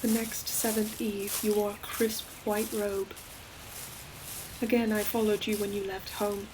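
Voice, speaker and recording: A woman plays an ancient forest demon who speaks slowly and solemnly, in a narrow, measured range. She is a tender, sorrowful protector in an audio roleplay, choosing each poetic phrase with care. Faint ambient sound sits behind the voice. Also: medium pitch, little pitch movement, noisy background, close to an everyday voice. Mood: mournful. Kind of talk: scripted audio roleplay monologue, slow dramatic storytelling. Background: ambient environmental sound effects.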